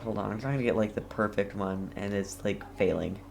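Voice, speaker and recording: A woman's voice: very deep voice, brisk, creaky. A woman streaming a game with casual commentary, muttering to herself and reacting briefly, with background noise.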